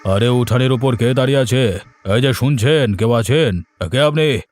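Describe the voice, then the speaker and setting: A man's voice: medium pitch, normal speed, natural voice. A man voicing an anxious, pious older village uncle in a cartoon, sighing and exclaiming dramatically. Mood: worried. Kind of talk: cartoon voice acting. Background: music.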